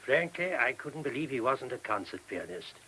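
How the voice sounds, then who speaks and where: An actor playing a polite, thoughtful male monk in a radio play, explaining things in a measured, slightly hesitant way. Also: mid-range voice, big pitch swings, pushed and bright. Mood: genial. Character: courteous, thoughtful male monk of an abbey, a priest. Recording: hissy old radio recording.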